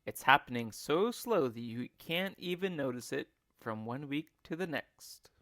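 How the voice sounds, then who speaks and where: A man reading a storybook aloud like a teacher, with expressive, deliberate and emphatic phrasing. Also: mid-range voice, dry recording, clean studio sound. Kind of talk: expressive read-along storybook narration.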